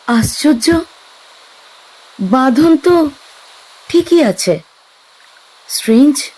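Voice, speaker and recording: A woman acting a young woman in an audio horror drama, speaking in a high, urgent, tense voice. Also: expressive, drawn-out words, a little mellow, full character voice, rough recording. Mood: insistent. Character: young woman on an eerie island, frightened and insistent.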